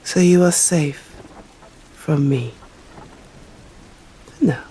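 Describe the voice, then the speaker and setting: A woman with an English accent voicing a stern, proud female vampire in an ASMR roleplay, speaking formally and deliberately and often slipping into whispers. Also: low-pitched, dark tone, breathy edge, heavily acted, low-quality recording. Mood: intrigued, menacing. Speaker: voice actor. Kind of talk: ASMR roleplay, scripted dramatic monologue.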